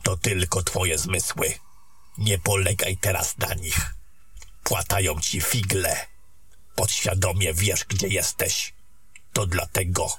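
Man acting a very deep, husky wizard mentor. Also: fairly even intonation, fairly bright tone, exaggerated voice. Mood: wary, guarded. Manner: measured, terse, instructing. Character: calm, seasoned, confident male wizard mentor. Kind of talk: fantasy audio drama acting.

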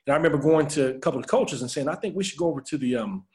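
A man's voice: on the high side, expressive, rapid-fire, a little mellow. An American man being interviewed as an author, telling personal stories in a reflective, earnest way.

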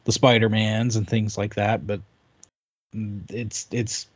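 A man's voice: lowish voice, little pitch movement, slow pace, no echo, dull audio. A man co-hosting a podcast, chatting casually about games. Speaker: podcast co-host. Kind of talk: casual gaming chat.

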